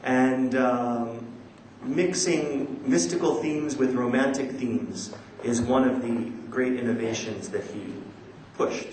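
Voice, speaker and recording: A man giving a scholarly conference lecture in a measured, somewhat hesitant and self-deprecating manner. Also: mid-range voice, a little mellow. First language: not a native Urdu speaker, which the talk quotes. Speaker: academic presenting a conference paper. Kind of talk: scholarly lecture with poetry recitation. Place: large indoor conference hall. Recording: echoey conference hall, background noise.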